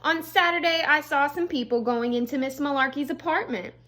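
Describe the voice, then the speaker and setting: A woman, an elementary school teacher, reading a storybook aloud to young children with expressive delivery and casual, chatty asides. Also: sits high, brisk, a little hiss or hum.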